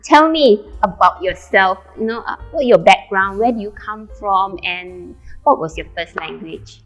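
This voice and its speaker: A woman hosting an interview in a shop, speaking slowly and hesitantly with fillers. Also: mid-range voice, faint music behind, rough recording. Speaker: host, interviewer. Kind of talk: casual interview. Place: small shop café indoors.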